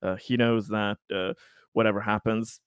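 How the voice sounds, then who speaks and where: A man with a slightly low voice plays in a tabletop roleplaying game. He explains his character's actions in a casual, hesitant way, stumbling over words. The sound is very dry and close. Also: little pitch movement, normal speed, clear, resonant tone, no echo.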